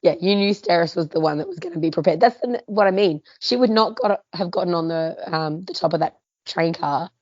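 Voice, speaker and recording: A low-voiced woman talks through a book with her co-hosts in a loose, chatty way and laughs often. The sound is dry and slightly dull. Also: brisk, a little mellow, dry recording. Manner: chatty, laughs often, trails off mid-thought. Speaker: podcast co-host. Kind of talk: casual book club chat.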